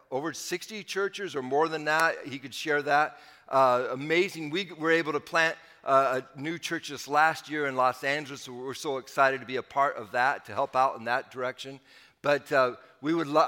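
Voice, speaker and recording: A man's voice: mid-range voice, bright, forceful tone, big-room sound. An older man, the church's pastor and podcast host, welcoming listeners and rambling through an introduction of the guest speaker.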